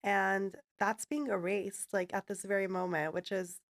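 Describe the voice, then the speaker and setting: A woman's voice: medium pitch, steady tone, a little slow. A woman hosting a podcast, talking with a guest in an earnest and affirming way. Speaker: podcast host. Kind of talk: casual interview talk. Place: podcast studio.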